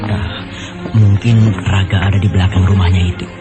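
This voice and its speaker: A man's voice: sits low, little pitch movement, normal speed, lightly acted. A man playing an earnest young rider. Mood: musing. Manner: musing aloud to himself, earnest. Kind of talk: radio drama acting. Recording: loud music behind.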